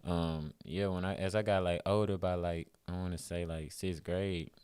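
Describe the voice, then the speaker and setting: Houston man, 20s, interview guest, relaxed rambling delivery, deep and smooth. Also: moderate pace, no echo. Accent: Houston, Texas. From Houston, Texas. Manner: laid-back, rambling, lots of fillers. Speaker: guest musician. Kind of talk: casual interview chat.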